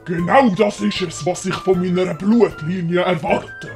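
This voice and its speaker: A scheming family patriarch , acted out by a woman speaks with a Swiss German accent. The older man's voice is gravelly and draws words out slowly, wheedling in an oily way. Faint music sits under this audio-story villain. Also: fairly low pitch, fairly even intonation, a little mellow. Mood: smooth, patronizing.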